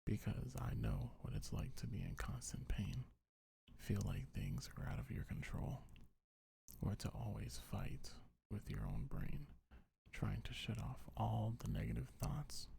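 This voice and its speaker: A man with a low, mellow voice speaks softly in an ASMR style, often dropping into a whisper. His delivery is very husky and breathy, with gentle, soothing reassurance throughout. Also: sing-song, unhurried. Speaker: ASMR creator reading a comfort script. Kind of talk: ASMR affirmations, soft-spoken and half-whispered.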